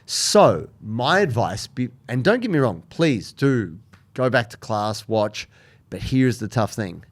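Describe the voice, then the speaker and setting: A man's voice: mid-range voice, very animated, slow. A man co-hosting a podcast, giving earnest, emphatic advice in a casual chat. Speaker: podcast co-host.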